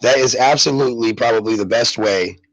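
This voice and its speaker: Stuffed-up guy rambling on his live radio show, gravelly husky voice, dry close mic. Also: mid-range voice, a little fast, no echo, lo-fi sound. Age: adult parent. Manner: rambling, repeats himself with fillers. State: congested. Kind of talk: casual live radio talk.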